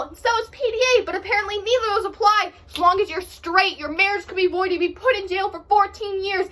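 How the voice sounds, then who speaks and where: Very high, fast teen poet. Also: female voice, fairly bright tone. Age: teenager, about 14. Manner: impassioned, emphatic, declamatory. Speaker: amateur slam poet. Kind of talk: slam poetry recital, rhythmic and rhyming.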